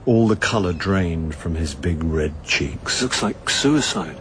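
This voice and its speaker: Deep voiced english amateur sleuth, dry and wry, radio play, bit of noise behind. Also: male voice, expressive. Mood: quietly accusing. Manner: dry, deliberate, understated. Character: wry middle-aged amateur sleuth, dogged and droll. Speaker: narrator and lead actor. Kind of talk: radio drama dialogue and first-person narration.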